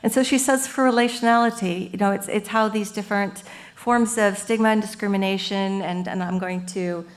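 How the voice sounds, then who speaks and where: A woman professor giving an academic lecture at a symposium, lively and earnest, explaining ideas through everyday metaphors. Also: mid-range voice, normal speed. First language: English. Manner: lively and earnest, with frequent "you know" and "um".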